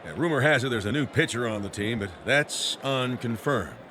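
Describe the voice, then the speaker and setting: An actor playing a peppy, folksy American baseball play-by-play announcer in a radio drama. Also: male voice, mid-range voice, expressive, normal speed, pushed and bright, a little hiss or hum. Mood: chatty, cheerful. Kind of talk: sports commentary in radio drama.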